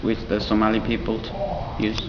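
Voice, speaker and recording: A teenage boy from Ethiopia whose first language is Amharic, giving brief, halting answers as a student in an interview. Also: fairly low pitch, completely flat delivery. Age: teens. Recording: dull lo-fi recording with audible noise.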